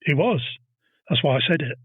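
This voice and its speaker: Guy telling a history story on a podcast, bit husky, thin phone-ish sound. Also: on the high side, expressive, brisk, no echo, phone-quality sound. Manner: teasing, banters with co-host. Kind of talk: casual comedic storytelling.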